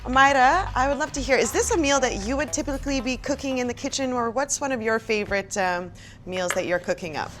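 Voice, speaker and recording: A woman's voice: high-pitched, fast, fairly bright tone, a little hiss or hum. Upbeat woman hosting a kitchen show. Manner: upbeat, chatty, asks guests questions. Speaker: host of cooking workshop. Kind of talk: casual hosted cooking show.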